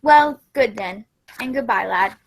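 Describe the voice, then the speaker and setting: Genteel fatherly doctor for radio play, woman in the male role: avuncular, high-pitched, unhurried. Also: gravelly, poor audio. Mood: kindly confiding. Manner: avuncular, measured.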